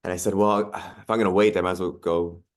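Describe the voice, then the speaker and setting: A man in his thirties, a podcast guest, talking in a relaxed way with lots of ums and likes. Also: low-pitched, quick pace. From Canada. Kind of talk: casual interview chat.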